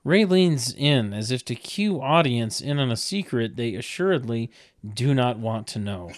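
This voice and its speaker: Wry man narrator with lively pitch. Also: a little slow. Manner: wry, mocking asides. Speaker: narrator reading stage directions. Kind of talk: comedic table read narration with wry asides.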